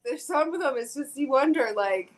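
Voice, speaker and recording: A woman's voice: high-pitched, lively intonation, moderate pace. Woman from canada, middle-aged, casual guest chat, laughs easily, frank, over a remote call. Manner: easygoing, laughs often, frank. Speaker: actress guest.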